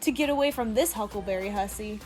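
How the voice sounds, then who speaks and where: A woman's voice: medium pitch, quick pace, darkish tone, soft background music, lightly acted. A woman playing a brash, foul-mouthed young human space recruit with cocky, sarcastic delivery in a comedic audio drama. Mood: cocky, scornful.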